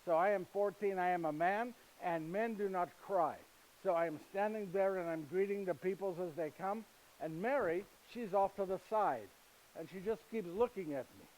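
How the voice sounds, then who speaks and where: An actor performing a first-person monologue as a gentle, humorous husband and father. He speaks broken, foreign-sounding English and keeps checking in with listeners through tag questions like "yes?". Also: high-pitched, normal speed, a little hiss or hum, natural voice, poor audio. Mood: fond storytelling. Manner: chatty, storytelling, addresses listeners directly. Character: gentle, humorous male carpenter, husband and father, middle-aged. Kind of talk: live theatrical monologue.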